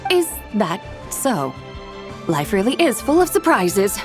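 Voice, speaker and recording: Lofty, lively eccentric young mystic. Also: female voice, mid-range voice, slow pace, over music. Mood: bemused. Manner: formal, theatrical, grandiose. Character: eccentric, lofty young female mystic, courteous and self-assured. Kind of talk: video game character dialogue.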